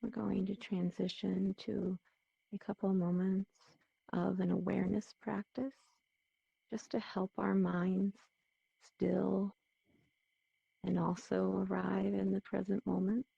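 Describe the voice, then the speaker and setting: A woman leading a guided meditation in a soothing, gentle, unhurried manner, with a muffled, dull sound to the recording. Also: mid-range voice, flat delivery, vocal fry, breathy edge, no echo. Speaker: meditation teacher.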